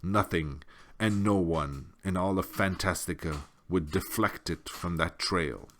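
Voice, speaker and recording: Deep-voiced man reading a storybook, unhurried. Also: fairly bright tone, slight background noise. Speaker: audiobook reader. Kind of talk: storybook narration.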